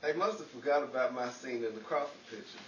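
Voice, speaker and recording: A man's voice: mid-range voice, fast, a bit bright, natural voice. Man acting mischievous broke schemer, wisecracking, 1940s radio comedy style, muffled, distant from the mic. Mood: wry. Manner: wisecracking, cocky banter. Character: mischievous, scheming, broke young male bit-part movie actor. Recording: echoey, noisy, muffled, distant radio-play recording.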